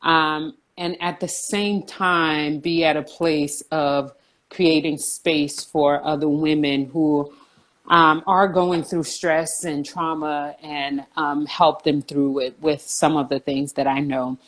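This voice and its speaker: A woman in her forties from New Jersey, a counselor speaking in a measured, earnest way with frequent ums. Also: deep voice, little pitch movement. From New Jersey, USA. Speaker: guest counselor. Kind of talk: casual video chat interview.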